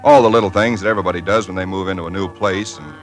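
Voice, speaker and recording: A man's voice: low-pitched, racing, close to an everyday voice. A man playing a young husband in a 1940s radio drama, narrating and talking in a wry, weary, conversational way. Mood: reflective, wry. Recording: old radio recording with loud music.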